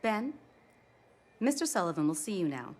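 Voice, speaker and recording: A woman's voice: mid-range voice, very animated, moderate pace, darkish tone. A woman playing a polite, formal receptionist in an audio drama.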